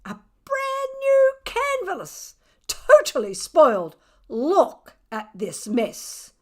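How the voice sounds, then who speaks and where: Storybook lady artist, read by a woman, exasperated and indignant, high pitch, slow drawn-out delivery. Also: wildly swooping pitch, full character voice. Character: exasperated, huffy lady amateur painter, cat's owner. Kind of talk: children's storybook character reading.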